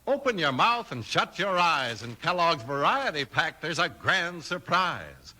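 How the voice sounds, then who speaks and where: A man's voice: a little high, sing-song, a little slow. An American man announcing and reading sponsor copy in a polished, emphatic 1950s radio style. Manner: polished, emphatic sales delivery. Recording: old radio transcription with music.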